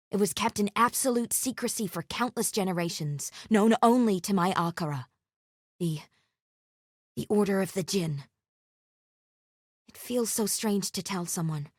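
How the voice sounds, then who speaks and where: A woman playing a stern, vengeful warrior guardian of a secret order in a video game, speaking in formal, measured, grave phrases. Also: mid-range voice, no room sound, clean studio sound, natural voice. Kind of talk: scripted game character voice lines.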